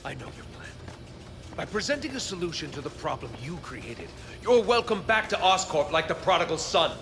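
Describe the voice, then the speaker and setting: An actor playing an arrogant, obsessed scientist villain in a video game cutscene, grandiose and menacing. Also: male voice, on the high side, very animated, a little fast, big-room sound, music in the background. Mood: indignant, defiant.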